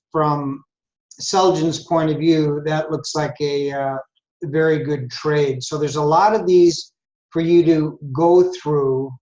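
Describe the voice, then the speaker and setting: A man presenting an explanatory webinar lecture on a trading system, speaking in a measured, deliberate way with frequent pauses. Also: on the high side. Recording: muffled, roomy webinar audio.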